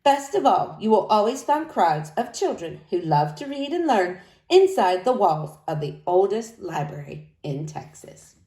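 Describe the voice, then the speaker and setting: A woman reading a picture book aloud to young children in an expressive, animated way. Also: medium pitch, unhurried. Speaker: storybook reader.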